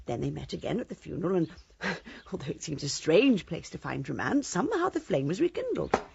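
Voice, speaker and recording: A kindly English village gossip. Her raspy, creaky voice swoops about as she confides in radio drama style. The sound is slightly muffled. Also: lowish voice, big pitch swings, brisk, noisy background, full character voice. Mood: confiding, cheerful. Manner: confiding, chatty. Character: gossipy, kindly village woman, gently fussy. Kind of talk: British radio drama acting.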